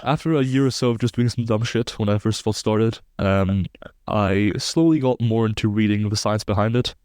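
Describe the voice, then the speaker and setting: Low-voiced man explaining research. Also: moderate pace, a little mellow, clean tone, no echo, clean studio sound. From Belgium. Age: 20s. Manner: precise, technical, fluent explainer. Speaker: guest researcher. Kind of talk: podcast interview, explaining research.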